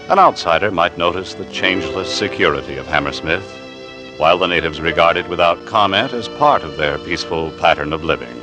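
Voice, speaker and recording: A man with a very deep voice narrates a radio drama in a measured, descriptive manner. Clear music plays behind him.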